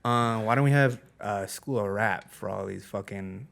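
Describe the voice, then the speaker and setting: A man's voice: fairly low pitch, normal speed, creaky, a bit bright. A young man co-hosting a podcast, joking around and going off on mock rants on a small studio stage. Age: young adult. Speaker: podcast co-host. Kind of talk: casual chat. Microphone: handheld mic.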